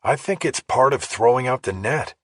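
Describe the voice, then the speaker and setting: A man's voice: medium pitch, lively intonation, moderate pace, no room sound, studio quality, pitched above the performer's own voice, lightly acted. A man voicing a persistent, probing male investigative journalist in an audiobook. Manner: probing, questioning. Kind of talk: audiobook character dialogue.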